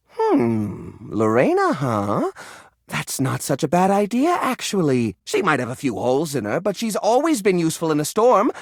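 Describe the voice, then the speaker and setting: An actor playing a fussy, sentimental hoarder in a video game, easily flustered, talking in an earnest, rambling, expressive way. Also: male voice, high voice, no echo, clean studio sound, exaggerated voice. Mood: thoughtful, pleased. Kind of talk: video game character dialogue.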